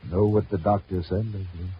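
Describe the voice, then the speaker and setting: A man plays a coolly menacing husband in a 1950s radio drama. His voice is very low, firm and falsely courteous. The vintage recording is muffled with faint noise. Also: little pitch movement, normal speed, close to an everyday voice. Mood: firm, controlling.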